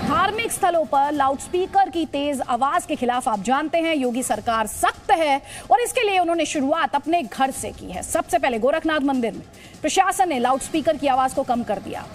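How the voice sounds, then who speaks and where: A woman with a high voice presents a television news debate. She is emphatic, repeats her points and poses pointed rhetorical questions. Light music plays behind her.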